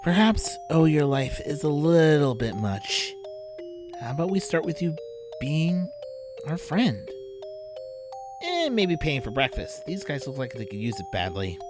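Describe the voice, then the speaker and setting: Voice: mid-range voice, very animated, measured pace, music in the background. An actor playing a friendly, upbeat young lad in a fan audio drama, casual and cheeky. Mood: friendly, teasing.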